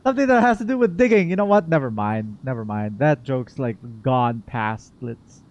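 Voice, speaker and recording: A man's voice: mid-range voice, animated, normal speed, a little mellow, dull audio. A man doing casual playthrough reaction commentary, goofy and theatrical, rambling and slipping into sing-song. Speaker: gaming YouTuber. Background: faint game music.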